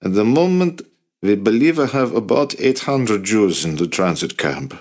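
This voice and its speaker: A man's voice: lowish voice, very animated, normal speed, darkish tone. A man voicing a determined, impassioned male resistance fighter in an audiobook, earnest, blunt and persuasive. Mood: blunt, confident.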